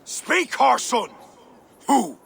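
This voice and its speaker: A man's voice: very high-pitched, big pitch swings, extremely slow, creaky, fairly bright tone, slightly rough, exaggerated voice. A man playing a stern, suspicious king in a video game, imperious and dramatic in his delivery. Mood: angry, commanding.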